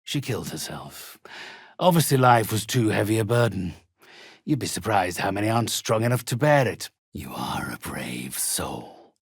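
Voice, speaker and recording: Ancient undead necromancer for a fantasy game: very husky, gravelly, pompous, mercenary and menacing. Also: male voice, lowish voice, big pitch swings, no echo, studio quality, heavily acted. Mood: condescending. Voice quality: raspy, hoarse. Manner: pompous, formal, deliberate. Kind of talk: theatrical video game character acting.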